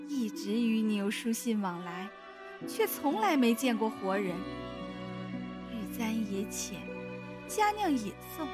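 Woman acting a worried elder sister, music behind. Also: fairly high pitch. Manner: gentle, sighing, solicitous. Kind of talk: Chinese costume audio drama acting. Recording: music laid over the voice.

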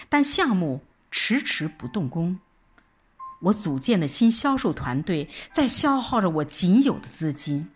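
A woman reading a scripted first-person audio drama narration in an expressive, reflective storytelling manner. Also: low-pitched, breathy edge. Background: background music.

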